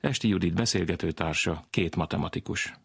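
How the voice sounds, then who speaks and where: A man's voice: deep voice, gravelly, a bit bright, husky, dry recording, clean studio sound. A man presenting a science programme, reading scripted narration in a storytelling way. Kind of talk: scripted narration, telling a joke.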